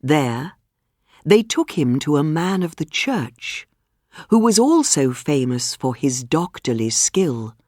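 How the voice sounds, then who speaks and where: A woman reading a scripted storybook for English learners, with measured, clearly phrased storytelling. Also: low voice, very animated, a little mellow, no echo, studio quality. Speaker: audiobook narrator.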